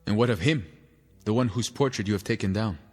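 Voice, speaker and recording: An actor playing a sober, doubting revolutionary aide who urges restraint in a television drama. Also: male voice, on the low side, fairly quick, darkish tone, natural voice. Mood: sceptical.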